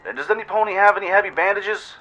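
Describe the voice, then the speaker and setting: A man's voice: high voice, fast talker, bright, forceful tone, soft background music, voice pushed up, rough recording. A man voicing an earnest, caring young female pony in an urgent, pleading way for a radio play. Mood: urgent, commanding.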